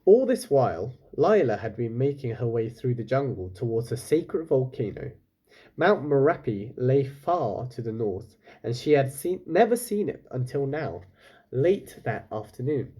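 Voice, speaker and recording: A man's voice: mid-range voice, big pitch swings, measured pace, mellow, husky. A man reading a children's book aloud expressively, stopping now and then for casual, chatty asides to his listeners. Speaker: storyteller, reader.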